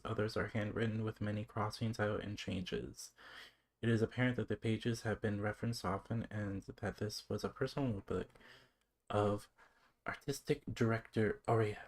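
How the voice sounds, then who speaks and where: A game streamer giving casual live commentary and reading text aloud, mumbling and trailing off at times. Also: mid-range voice.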